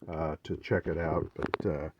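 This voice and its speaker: A man with a low voice rambles through an informal show-and-tell as a hobbyist collector. He speaks in a halting, unscripted way, working out his thoughts as he goes. The recording is dull and muffled. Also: little pitch movement, a little hiss or hum. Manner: halting, unscripted, thinking aloud. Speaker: collector presenting his gear.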